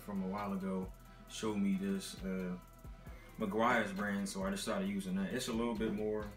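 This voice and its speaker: A man's voice: fairly low pitch, echoey room. A young man from Texas casually rambling through a car care product rundown, full of fillers, recorded on a laptop mic in a dim apartment. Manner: rambling, filler-heavy, laid-back. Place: dim apartment living room, indoors. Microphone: laptop built-in mic.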